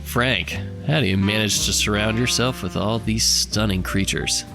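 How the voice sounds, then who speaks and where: An actor playing a jolly, corny, flirtatious radio producer in an audio comedy. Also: male voice, fairly low pitch, steady tone, moderate pace, over music, close to an everyday voice. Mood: jolly, chummy. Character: Greg Pebbles, goofy, courtly, flirtatious male radio producer. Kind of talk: scripted audio comedy acting.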